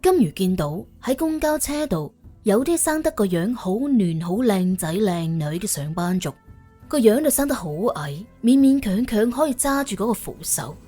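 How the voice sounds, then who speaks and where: A woman narrating an audiobook in an animated, colloquial storytelling style with a wry, expressive manner. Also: mid-range voice, husky.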